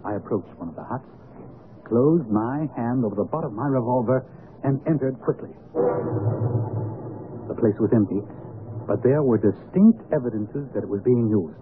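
An actor playing an earnest, loyal gentleman in a radio mystery, recounting events in formal, expressive speech. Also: mid-range voice, moderate pace, pauses often, lightly acted. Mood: suspenseful narration. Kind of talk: 1970s radio mystery acting, narrating. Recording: tinny, noisy old radio broadcast.

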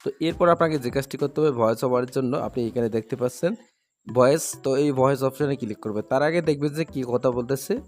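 A man hosts a screen tutorial over background music. He talks quickly at a medium pitch. His phrasing is instructional and repetitive as he goes step by step. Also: a little mellow. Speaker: tutorial host.

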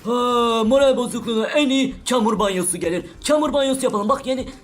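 A woman voicing a loud, rowdy, bossy male friend in a cartoon who talks brashly and roughly. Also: a little high, fast talker, pitched below the performer's own voice, close to an everyday voice.

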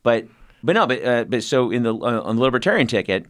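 A man's voice: mid-range voice, expressive, fast talker, a bit bright, no room sound, studio quality. A man from California hosting a podcast interview, talking casually with lots of restarts and the odd swear word. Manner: rambling, profane, many restarts. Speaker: podcast host.

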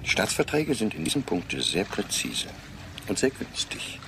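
An actor playing an irritable, sarcastic fugitive doctor who blusters, in a German radio drama. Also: male voice, fairly low pitch, big pitch swings, moderate pace, noisy background. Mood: sardonic, tense. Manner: sarcastic, dismissive.

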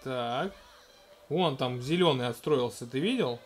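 A man in his thirties casually commenting on a video game in a gentle, coaxing way, talking to a small child beside him at home. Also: medium pitch, lively intonation, measured pace, faint music behind. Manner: gentle, coaxing, talks to the child, narrates what is on screen. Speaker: let's-play host playing with his toddler.

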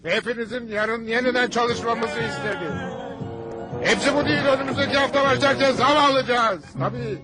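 A high-pitched, blustering middle-aged cartoon dad. He is excitable and boastful but big-hearted, and he speaks theatrically over a music bed. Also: fairly quick. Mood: proud, commanding. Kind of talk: animated cartoon dub. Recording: music under the dialogue.

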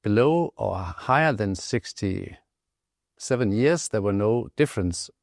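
Low-pitched Danish man, gravelly edge. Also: animated, slow pace, no echo, clean studio sound. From Denmark. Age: middle-aged, around 60. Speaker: physician and clinical trial investigator. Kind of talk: expert interview explaining research findings. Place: indoor interview set, close dry sound. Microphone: lapel microphone.

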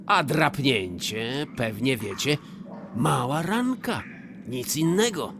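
A slow, wheedling, scheming notary. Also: male voice, medium pitch, very animated, noisy background. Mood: slyly insistent. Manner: slow, wheedling, insistent, falsely sweet. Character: scheming, falsely meek, miserly old notary. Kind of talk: theatrical radio play acting in verse.

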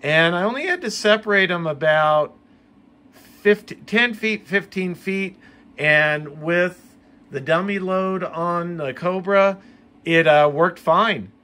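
American man, somewhat high voice, bright tone, casual how-to narration, hobby video host, home room. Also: takes it slowly.

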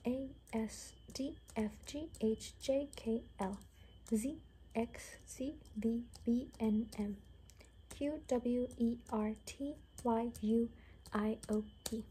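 A young woman speaking casually in a hushed, half-whispered ASMR roleplay style, close to the mic in a room. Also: a little high, slow, rough recording. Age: young adult. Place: small furnished room indoors. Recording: noticeable background noise.

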